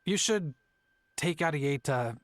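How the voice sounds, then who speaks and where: A guilt-ridden father on the run in a video game. His voice is a little high for a man, apologetic, hesitant and resigned. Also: expressive, slow pace, a bit bright. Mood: remorseful, resigned.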